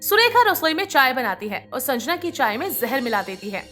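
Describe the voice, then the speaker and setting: A woman's voice: sits high, sing-song, a little fast, bright, forceful tone, faint music behind. A woman reading scripted narration for a moral bedtime story as its storyteller.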